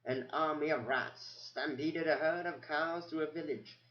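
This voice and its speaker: A man voicing an ancient male mouse scholar who squeaks near a whisper, doddering and forgetful. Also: unhurried, echoey room, muffled recording, close to an everyday voice. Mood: grave.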